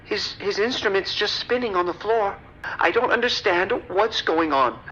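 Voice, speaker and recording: A woman playing a horrified restaurant maître d' on an emergency call in an audio drama, formal but halting and distraught. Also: mid-range voice, fairly bright tone, husky. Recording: noisy, dull radio-like call audio.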